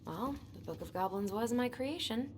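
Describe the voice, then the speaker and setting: A woman acting in a video game as a practical, playful young American woman, speaking in a conversational, gently coaxing way. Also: a little high, animated, normal speed, close to an everyday voice. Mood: curious. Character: practical, playful young woman, supportive sibling and puzzle lover. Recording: noisy streamed game audio.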